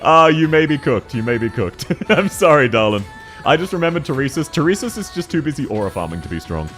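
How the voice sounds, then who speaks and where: Deep-voiced, lively young male streamer. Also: faint music behind. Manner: excitable, laughs often, slangy and quick to correct himself. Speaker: game streamer. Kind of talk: casual live-stream chat, answering viewer questions.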